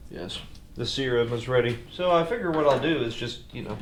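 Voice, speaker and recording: A man with a mid-pitched voice narrates hands-on work in a casual vlog style. His delivery is folksy and tongue-in-cheek, slipping into mock-serious asides. There is noticeable background noise around his voice. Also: expressive, brisk. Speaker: host of a car repair video channel. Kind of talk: casual DIY vlog narration while working. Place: garage workshop.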